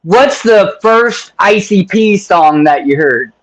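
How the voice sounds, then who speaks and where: A man's voice: high-pitched, expressive, slow pace, poor audio. A man hosting a casual interview, joking around and rambling with lots of fillers as he asks his questions. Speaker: interview host.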